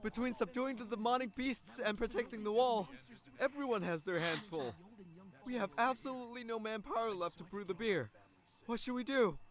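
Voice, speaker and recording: A man's voice: medium pitch, fairly quick, natural voice. A man voicing an anxious, deferential court servant in a comic dub, flustered and melodramatic. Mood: panicked.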